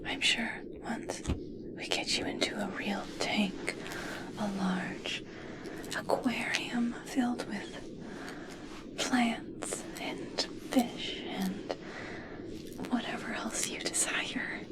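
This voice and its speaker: A woman playing a gentle, obsessed scientist fascinated by merpeople in a whispered ASMR roleplay, speaking softly, slowly and soothingly. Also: mid-range voice, fairly even intonation, low-quality recording. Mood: fascinated, dreamy. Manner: soft, soothing, slow, coaxing.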